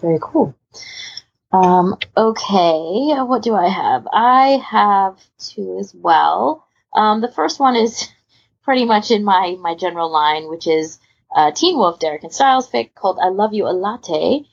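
A woman's voice: medium pitch, expressive, measured pace, vocal fry, husky. A woman co-hosting a podcast, chatting casually in a rambling, conversational way full of asides. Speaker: podcast co-host. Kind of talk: casual podcast chat, recommending audio stories. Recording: muffled, lo-fi voice recording.

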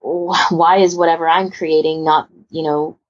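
Female podcast host, husky and low, chatty and rambling, slightly dull lo-fi sound. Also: flat delivery, moderate pace. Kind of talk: casual panel chat.

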